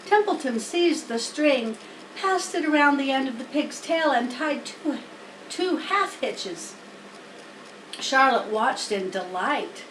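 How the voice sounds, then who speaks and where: A woman reading a children's storybook aloud as narrator, in a careful, deliberate way. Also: sits high, lively intonation, fairly bright tone. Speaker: audiobook reader. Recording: roomy, distant mic, faint noise.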